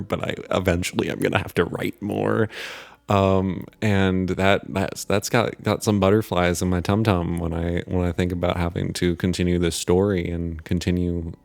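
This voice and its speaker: A man hosting a channel, talking casually to viewers in a halting, informal, rambling way. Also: deep voice, fairly even intonation, creaky, darkish tone, no room sound. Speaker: channel host and writer. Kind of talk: casual outro chat to viewers.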